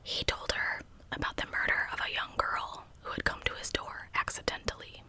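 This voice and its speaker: A woman's voice: normal speed, sharp tone. A woman whispering a true crime story in ASMR style as a channel host, with conversational asides to listeners.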